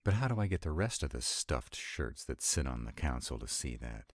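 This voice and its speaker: Man acting a very deep, sardonic vampire lover. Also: sing-song, moderate pace, no room sound, clean studio sound. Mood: exasperated sarcasm. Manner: sardonic, exasperated, intimate, swooping emphasis. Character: sarcastic, grumbling, affectionate male vampire councilman and lover. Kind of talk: romantic roleplay audio acting.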